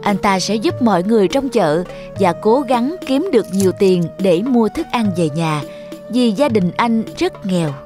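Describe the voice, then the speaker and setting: A woman's voice: mid-range voice, expressive, moderate pace, music in the background. A woman narrator reading a scripted fairy tale for children.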